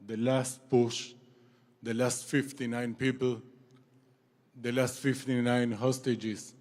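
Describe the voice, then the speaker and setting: A man from Israel and Argentina, giving a halting, emotional speech as a former hostage, repeating and apologizing for his English. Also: medium pitch, flat delivery, a little mellow. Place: large banquet hall. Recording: long hall reverb.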